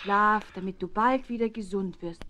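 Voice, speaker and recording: A woman's voice: medium pitch, moderate pace, pushed and bright, a little hiss or hum, natural voice. Firm, motherly pig, female actor, soothing and matter-of-fact, middle register, children's radio play. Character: motherly, firm pig nursing a little creature.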